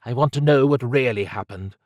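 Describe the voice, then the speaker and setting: A man's voice: mid-range voice, fairly quick, darkish tone, dry recording, clean studio sound, pitched above the performer's own voice, lightly acted. A man voicing a tough, sardonic woman government agent, pointed and needling, in an audiobook. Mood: probing.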